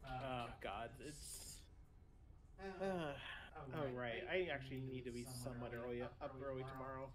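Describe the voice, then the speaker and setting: A man's voice: mid-range voice, steady tone, normal speed, crowd noise, rough recording. A young man chatting and joking with his teammates while playing an online shooter. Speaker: streamer's teammate. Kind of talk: casual gaming chat. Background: loud game music.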